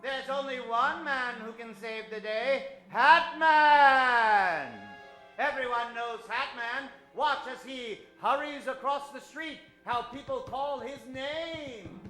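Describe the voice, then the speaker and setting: A man's voice: very high voice, takes it slowly, pushed and bright, exaggerated voice. A man playing a bombastic, theatrical old-time radio announcer on a live superhero comedy serial. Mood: urgent. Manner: theatrical, emphatic, grandiose. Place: theater stage before a studio audience. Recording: roomy live stage with music.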